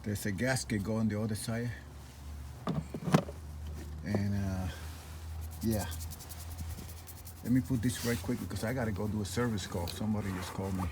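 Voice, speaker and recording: A man's voice: on the low side, fairly even intonation, moderate pace, pauses often, heavy background noise. A man talking informally, half to himself, as he films a casual hands-on repair vlog. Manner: informal, muttering to himself while working. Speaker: vlogger, tradesman doing a DIY truck repair. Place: at a vehicle being repaired.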